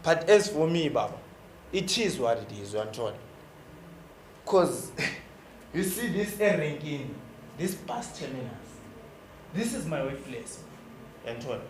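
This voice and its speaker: A man's voice: a little high, sing-song, slow pace, pauses often. A Southern African man playing a boastful, lovestruck young bus-rank hustler in a stage play monologue, slangy and exclamatory, repeating words for emphasis. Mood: cheeky, bragging. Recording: echoey stage with faint noise.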